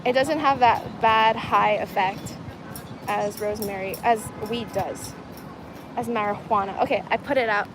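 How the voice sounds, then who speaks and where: A woman's voice: mid-range voice, fairly even intonation, measured pace, over music, rough recording. A woman chatting casually on a livestream, reading viewer comments aloud and talking to her audience as "guys". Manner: chatty, laughs easily, talks to viewers as "guys". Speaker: vlogger reading live chat. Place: outdoors in a city at dusk. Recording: outdoor stream with clear traffic noise. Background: street and traffic noise.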